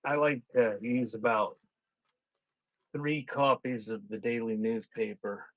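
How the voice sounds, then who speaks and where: A man hosting a casual live sales stream, rambling and thinking out loud in a scattered, conversational way. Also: mid-range voice, fairly even intonation, slow pace, dry recording, low-quality recording. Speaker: live-stream seller hosting a sale and unboxing.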